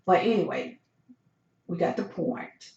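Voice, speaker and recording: A hoarse, gravelly host walks slowly through number charts, stopping often to restart a thought. The tone is dark and mellow. The recording sounds dull and lo-fi. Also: mid-range voice, expressive. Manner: slow, step-by-step explaining with hesitant restarts. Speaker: lottery number tutorial host. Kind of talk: screen walkthrough of number charts.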